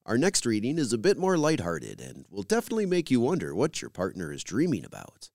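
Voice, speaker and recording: A man's voice: medium pitch, huge pitch swings, a little fast, gravelly, a little mellow, no room sound, clean studio sound. A man hosting a radio program, reading scripted introductions and credits as a presenter.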